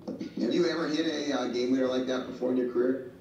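A man's voice: medium pitch, steady tone, quick pace, creaky, darkish tone, highs cut off. A man, a sports reporter, asking long, probing questions at a press conference. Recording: echoey room, far from the mic.

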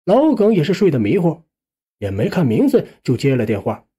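Male audiobook narrator, fairly high pitch, mellow tone, conversational suspense storytelling. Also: wildly swooping pitch, normal speed, slightly rough. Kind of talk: first-person suspense storytelling, scripted narration.

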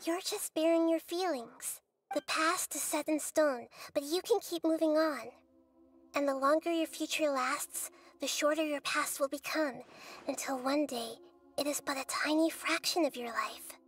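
A gentle, wise goddess with a childlike air and a high voice. She speaks softly, calmly and earnestly. Faint game music plays behind her. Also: measured pace. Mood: consoling. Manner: soft, calm and earnest. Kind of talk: video game voice acting.

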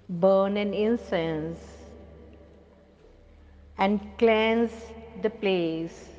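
A middle-aged woman with an Indian accent guides a meditation at an unhurried, slow pace. Her soothing, instructive voice trails into a long reverb. The recording is somewhat dull and lo-fi. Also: mid-range voice, a little mellow. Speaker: meditation guide and spiritual healer. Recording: long reverb, dull lo-fi sound, faint noise.

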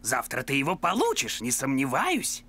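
A man's voice: medium pitch, wildly swooping pitch, normal speed. An actor playing a proud, encouraging middle-aged father dog in a cartoon, with music underneath. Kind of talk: animated cartoon acting.

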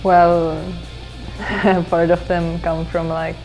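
A teenage exchange student from Finland, a girl who speaks English as a second language, gives slow, halting answers full of fillers and small laughs. Her voice sits low for her age, and a faint guitar plays quietly underneath as she talks in a school hallway. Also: little pitch movement, poor audio. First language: Finnish. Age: teens. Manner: hesitant, many fillers, soft laughs. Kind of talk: casual interview.

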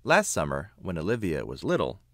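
A man reading a children's picture book aloud with expressive, emphatic phrasing. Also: deep voice, fairly quick, no room sound, studio quality. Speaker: children's book reader, narrator. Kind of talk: read-aloud storybook narration.

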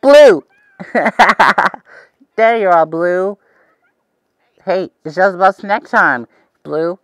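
A man's voice: high-pitched, very slow, fairly bright tone, no room sound, studio quality, heavily acted. A man playing a cheerful, eager young host of a preschool TV show, talking to the viewer in an exaggerated, wide-eyed way. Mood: cheerful, excited. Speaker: children's show host character.

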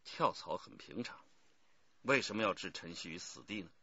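An actor playing a stern, authoritative police detective chief in a radio crime drama. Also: male voice, low voice, lively intonation, normal speed, vocal fry, sharp tone, husky, dry recording, dull audio, full character voice. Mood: stern, pressing. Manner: authoritative, pressing.

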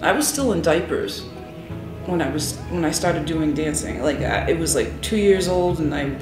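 A woman's voice: sits low, animated, moderate pace, music in the background. A middle-aged woman from Rhode Island, a singer chatting as an interview guest, with lots of ums and laughs. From Rhode Island, USA. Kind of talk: casual interview chat. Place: hotel room, indoors. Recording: roomy hotel room echo.

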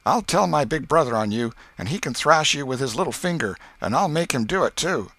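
Storybook role: combative young boy, played by an adult man, boastful, folksy taunts, raised pitch. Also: expressive, brisk, bright, forceful tone, no room sound, full character voice. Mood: boastful, threatening. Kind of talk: audiobook character voice.

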